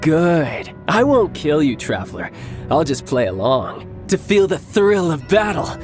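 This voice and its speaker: A man's voice: fairly high pitch, sing-song, a little slow. Cocky young male game villain, battle-hungry, theatrical and taunting, over a prominent music score. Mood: amused challenge. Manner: theatrical, taunting, playfully menacing. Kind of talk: English video game voice acting.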